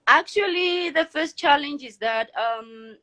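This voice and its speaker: Young woman, higher voice, self-assured, laughs a lot. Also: unhurried. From Lesotho. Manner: confident, expressive, laughs often. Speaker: guest, model and entrepreneur. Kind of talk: casual interview answers.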